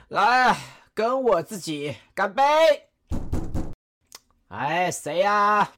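A woman playing Cao Cao, a weary, lonely middle-aged warlord, speaking slowly with heavy sighs in an audio drama. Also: mid-range voice, expressive, a bit bright, a little hiss or hum. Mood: wistful. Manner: slow, heavy sighing delivery.

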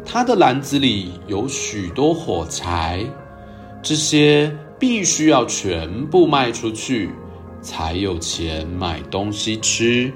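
A man hosting a storytelling show, narrating for young children in a gentle, expressive way. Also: lowish voice, takes it slowly, music in the background. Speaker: children's storytelling podcast host.

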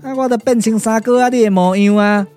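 Man playing comic younger-brother trickster, Taiwanese accent, excitable, exclamatory, boastful, glove-puppet radio drama, light background music. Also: high-pitched, brisk, lightly acted. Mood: complaining. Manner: chatty, exclamatory, full of interjections.

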